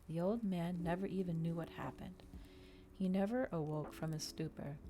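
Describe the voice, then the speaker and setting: A woman doing an ASMR reading of a novel, soft-spoken and measured, with a dramatic storytelling delivery. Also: lowish voice, slight background noise. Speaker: streamer reading a novel aloud.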